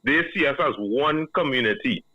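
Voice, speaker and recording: A man with a Trinidadian accent, calling in to a radio show and giving a measured, earnest opinion. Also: medium pitch, expressive, no echo. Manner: measured, earnest, reasoning aloud. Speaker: caller. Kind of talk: call-in radio commentary. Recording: dull, dry phone line.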